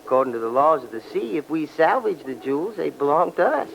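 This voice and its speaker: A man's voice: on the high side, big pitch swings, brisk, creaky, a bit bright, heavily acted. An actor playing a cocky, menacing young crook with slangy, taunting tough-guy talk in a radio crime drama. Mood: taunting, sly. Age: young adult. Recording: noisy old radio recording.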